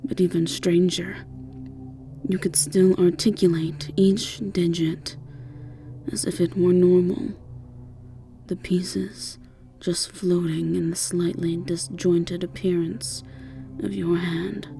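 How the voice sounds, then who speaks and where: A woman's voice: on the low side, flat delivery, slow pace, vocal fry, warm tone, soft background music. A woman with an English accent narrating a scripted second-person story. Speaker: narrator and voice actor.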